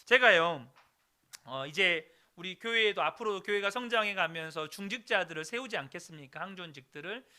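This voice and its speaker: A man's voice: high voice, animated, a little fast, bright, forceful tone. A man in his thirties, a pastor, preaching a sermon earnestly and addressing the congregation directly. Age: 30s. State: recovering throat, clears throat.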